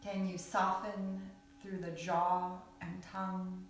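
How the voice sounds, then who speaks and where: Slow-speaking woman guiding meditation in echoey space. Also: fairly low pitch, little pitch movement. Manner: soothing, unhurried, long pauses between short phrases. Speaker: yoga nidra guide. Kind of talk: guided meditation. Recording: echoey, distant mic with background music.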